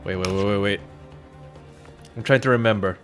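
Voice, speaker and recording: A man's voice: on the low side, normal speed, soft background music. A man doing casual, joking let's play commentary as he plays and voices a game. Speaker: game streamer voicing characters.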